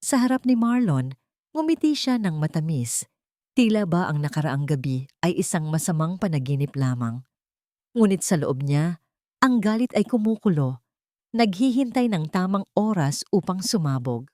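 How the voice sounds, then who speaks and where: A woman's voice: on the low side, lively intonation, normal speed, darkish tone, no room sound, studio quality. A woman reading a scripted dramatic story as its narrator. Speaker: narrator of romantic drama stories.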